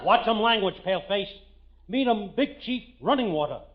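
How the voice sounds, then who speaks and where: Muffled noisy old radio, pompous comic chief, high pitch, clipped pidgin, grunts. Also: male voice, animated, moderate pace. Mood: gruff, pompous. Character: pompous Indian chief, broad comic caricature. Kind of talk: 1940s radio comedy acting.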